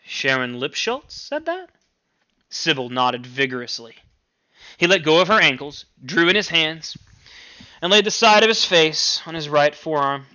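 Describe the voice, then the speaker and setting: A man narrates a short story in a bright, forceful voice that sits a little high. His delivery is expressive and animated, like a teacher reading aloud to a class. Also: moderate pace, highs cut off.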